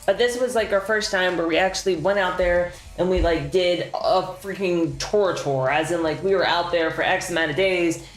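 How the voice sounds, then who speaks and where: A young man in a band giving a casual year-end update, rambling and excited, full of fillers and slang. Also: sits high, normal speed, hardly any pauses. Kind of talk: casual chat. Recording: echoey room with faint music.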